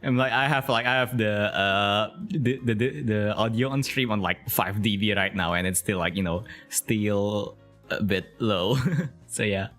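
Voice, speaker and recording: A man's voice: on the low side, unhurried, fairly bright tone, faint music behind. A man hosting a casual interview stream, laughing often and filling his talk with "like" and "uh". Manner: giggly, filler-heavy, lots of "like". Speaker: interview show host. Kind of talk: casual chat over a video call.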